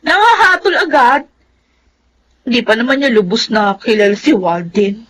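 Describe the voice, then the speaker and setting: A woman's voice: a little high, lively intonation, moderate pace, fairly bright tone, rough recording. An actress playing a stubborn, flippant young woman in a Filipino radio drama, with a whiny, dismissive manner. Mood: irritated.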